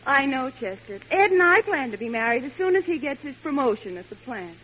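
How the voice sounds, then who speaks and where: A woman's voice: sits high, very animated, brisk, a bit bright, slightly rough, exaggerated voice. An actress playing a forward, coaxing young woman in an old-time radio sitcom, talking with easy familiarity. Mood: coaxing, at ease. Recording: muffled, hissy vintage radio broadcast.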